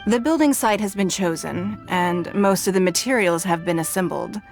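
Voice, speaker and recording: A woman playing a poised, wealthy female ruler who speaks in a measured, formal, authoritative way. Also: on the low side, close to an everyday voice. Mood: commanding. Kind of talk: game voice acting. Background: game music.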